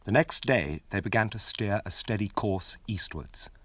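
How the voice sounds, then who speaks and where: An actor in a radio drama narrating a fantasy journey as a calm, stately storyteller. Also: male voice, on the low side, normal speed, no room sound.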